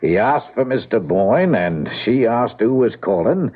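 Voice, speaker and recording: An actor playing a polite, methodical police inspector in a radio mystery, questioning patiently and deferentially. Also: male voice, medium pitch, very animated, unhurried. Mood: politely probing. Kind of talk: radio mystery drama acting. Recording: muffled phone-like old radio broadcast.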